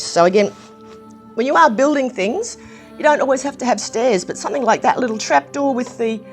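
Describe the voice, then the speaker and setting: A woman with a slightly croaky voice, chatting casually through a hands-on review of a building set, with plenty of ums and restarts. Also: mid-range voice, darkish tone. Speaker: brick set reviewer, channel host.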